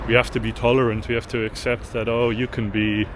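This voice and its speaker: A man talks to camera outdoors in a plain, mid-range voice, blunt and indignant, stressing his words hard. Traffic and street noise run clearly behind him. It sounds like a casual travel vlog recorded on the move. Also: mid-range voice, flat delivery, fairly quick. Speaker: travel vlogger, host. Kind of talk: casual walking vlog commentary.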